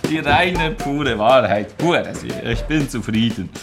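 A man's voice: medium pitch, lively intonation, slow. A man in his forties reading an indignant letter aloud in an emphatic, repetitive, declamatory way. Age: 40s. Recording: music behind the voice. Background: music.

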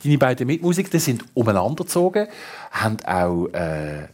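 Husky Swiss German male interviewer. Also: medium pitch, huge pitch swings, brisk, a little mellow. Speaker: radio talk show host. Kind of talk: conversational interviewing.

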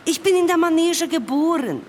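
A woman's voice: extremely high pitch, lively intonation, moderate pace, darkish tone. A woman playing a bold, menacing circus knife thrower in a radio drama, commanding and taunting. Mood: proud, taunting.

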